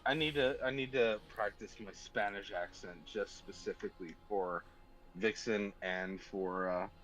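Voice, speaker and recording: A man's voice: fairly low pitch, a little slow, fairly bright tone, faint music behind, poor audio. A man from the southern United States with an American accent, rambling in casual chat with many fillers.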